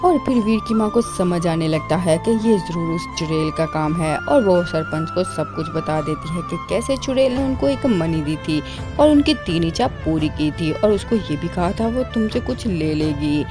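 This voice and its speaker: Low-voiced woman narrating a cartoon story. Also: lively intonation, moderate pace, few pauses. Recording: loud music behind the voice.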